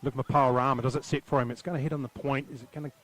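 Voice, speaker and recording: An Australian man doing live commentary on a local football match, calling the play with quick excitement. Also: mid-range voice.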